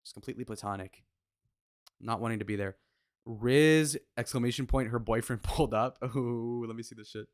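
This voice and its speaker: A man's voice: medium pitch, fairly quick. A young man streaming live, reacting to clips with blunt, mocking, crude running commentary in a casual way.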